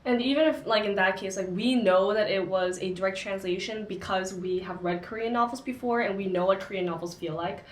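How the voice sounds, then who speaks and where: Young woman, book-chat co-host, chatty and full of fillers, mid pitch, echoey room. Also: steady tone, a little fast, few pauses, slight background noise. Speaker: co-host of a book discussion channel. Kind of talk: casual book discussion.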